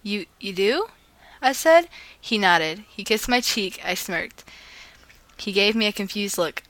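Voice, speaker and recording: A woman with a bright, forward voice reads fan fiction out loud. She is playful and dramatizes the dialogue as she goes. The sound is close and dry. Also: mid-range voice, animated, unhurried, no room sound. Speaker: fan fiction reader and video host.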